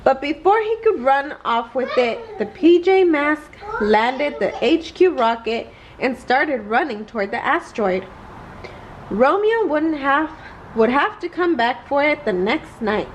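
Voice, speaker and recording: A woman's voice: sits high, very animated, a little slow, a little hiss or hum, poor audio. A woman reading a children's bedtime story aloud, expressively and carefully. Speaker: storybook reader.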